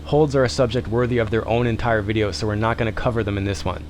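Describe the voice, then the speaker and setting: A man's voice: on the low side, steady tone, fast, noisy background. A man narrating a vlog in voice-over as its host.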